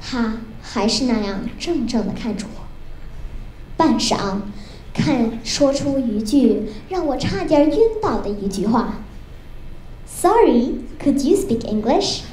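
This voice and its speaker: Young girl storyteller, high voice, expressive rehearsed delivery, echoey room. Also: slow pace. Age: child or young teen. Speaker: student storyteller. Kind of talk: rehearsed joke recital on stage. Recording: roomy with faint noise.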